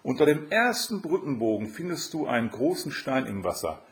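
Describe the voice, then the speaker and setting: A man's voice: medium pitch, very animated, normal speed, pitched above the performer's own voice. A man voicing a helpful, matter-of-fact young girl in a fairy tale. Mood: helpful, breezy.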